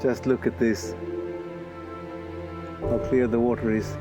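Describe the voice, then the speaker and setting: A man with a cold and a sore throat, vlogging outdoors at night and thinking aloud with pauses and restarts. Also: mid-range voice, little pitch movement, loud music behind the voice. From Sweden. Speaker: photography vlogger. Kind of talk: casual vlog narration.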